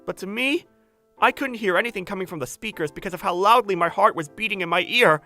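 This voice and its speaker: A woman's voice: medium pitch, fast, sharp tone, faint music behind, natural voice. A woman voicing an earnest, easily flustered man in his thirties, narrating a romantic comedy manga dub. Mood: earnest, nervous. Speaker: voice actor narrating and playing the male lead.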